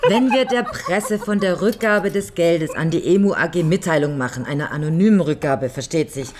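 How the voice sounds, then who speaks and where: An actress playing a resolute, level-headed lawyer in a radio crime drama, explaining her plan in a matter-of-fact, deliberate way. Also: fairly low pitch, background noise, natural voice. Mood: confident, organising.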